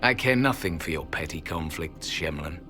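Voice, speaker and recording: Deep, disdainful elven guardian. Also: male voice, lively intonation, normal speed, sharp tone, faint music behind. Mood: contemptuous. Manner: solemn, contemptuous, formal. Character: ancient, disdainful male elven temple guardian, stern. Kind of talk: dramatic video game cutscene acting.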